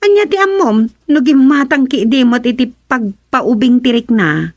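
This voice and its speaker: A woman acting as a nosy, teasing Filipino village gossip. Her Ilocano-accented voice is fairly high and very lively, recorded dry, with exclamatory radio comedy drama delivery. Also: a little slow, no room sound, dull audio, heavily acted. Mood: gossipy. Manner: exclamatory, animated.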